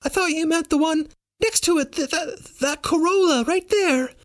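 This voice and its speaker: A man's voice: very high voice, normal speed, no echo, studio quality, voice pushed up. A man voicing a nervous woman who bluffs in a muddled, fake foreign accent, stammering and overeager, in an audiobook. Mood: flustered bluffing. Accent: fake, muddled, untraceable foreign. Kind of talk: first-person audiobook dialogue.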